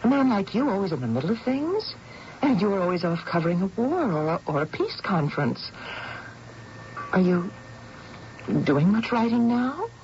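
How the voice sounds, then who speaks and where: An actress playing an estranged, composed, concerned wife, telling her story in deliberate, dramatic radio style. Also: mid-range voice, sing-song, vocal fry, a little hiss or hum. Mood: concerned, warm. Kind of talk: radio drama acting and first-person narration. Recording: dull old radio recording with loud music.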